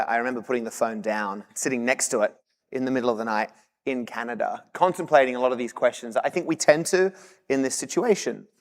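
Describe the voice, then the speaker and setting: A man in his thirties with an Australian accent, giving a lively motivational keynote speech and joking with the audience from a convention stage. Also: mid-range voice. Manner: lively, engaging the audience with humor. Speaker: keynote speaker. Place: large convention hall. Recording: long hall reverb.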